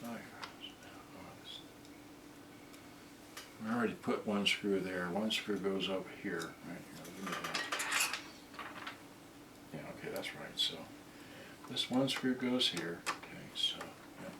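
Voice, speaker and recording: Male, low-pitched, unhurried and halting, muttering through hands-on repair work, recorded distant from the mic. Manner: halting, talking to himself while working. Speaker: repair video host. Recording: distant roomy mic, loud noise, clicks and clatter.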